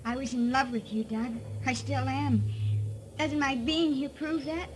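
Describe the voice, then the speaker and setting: A woman's voice: sits high, fairly even intonation, a little slow. An actress playing a heartbroken, lovesick young woman, pleading in a 1950s radio melodrama.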